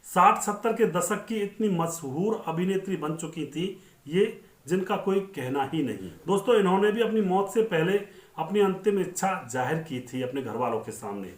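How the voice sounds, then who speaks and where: A man's voice: sits high, expressive, normal speed. A man narrating film-star life stories in an informal, conversational way, addressing viewers directly and restating points for emphasis. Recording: roomy, echoing voice-over.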